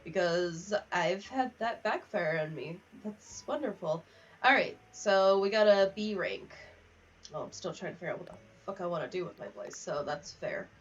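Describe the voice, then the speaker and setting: A woman's voice: on the low side, expressive, moderate pace, slight background noise. A young woman chatting casually on a gaming livestream, rambling from one aside to the next. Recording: distant, slightly muffled mic.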